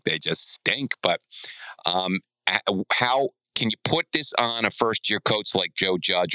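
A man's voice: fairly low pitch, bright, forceful tone, no room sound, low-quality recording. A man co-hosting a sports podcast in casual discussion, often restarting his sentences midway.

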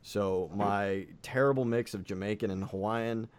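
A man hosting and narrating a tabletop game as dungeon master, slightly raspy from fighting a cold, casual with many fillers. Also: fairly low pitch, normal speed, noisy background. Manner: casual, with frequent fillers and restarts.

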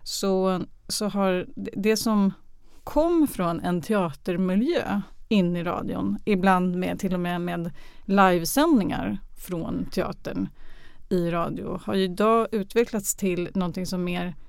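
A woman's voice: lowish voice, creaky, darkish tone, clean tone, no room sound, clean studio sound. A woman answering interview questions hesitantly, searching for words. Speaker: guest, radio drama artistic director.

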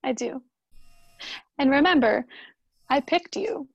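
An actress playing a tender, forgiving, emotional young wife in a radio drama. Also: female voice, high-pitched, lively intonation, slow pace, no room sound, dull audio, exaggerated voice.

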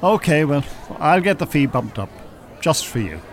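An actor in an audio drama playing a polite, formal Irish con artist posing as a professor. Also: male voice, a little high, sing-song, moderate pace. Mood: smooth, reassuring.

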